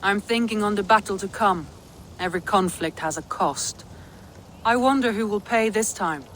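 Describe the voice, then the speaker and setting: A woman's voice: mid-range voice, moderate pace, a bit bright, a little hiss or hum, close to an everyday voice. An actress playing a grave, brooding female Viking jarl in a video game cutscene.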